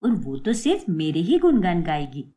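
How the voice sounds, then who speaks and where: A woman's voice: on the low side, huge pitch swings, normal speed, dark tone, pitched below the performer's own voice. A woman voicing a jealous daughter-in-law in a moral-story cartoon. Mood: scheming. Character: jealous, scheming daughter-in-law, later repentant.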